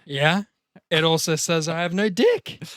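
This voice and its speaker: Male actor as sarcastic comic-book slacker, crude and overblown, high voice with big swings, comedy table read. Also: animated, measured pace, fairly bright tone, dry recording, studio quality. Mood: mock-grand sarcasm. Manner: exaggerated, sarcastic delivery. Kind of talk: overacted comedy script read.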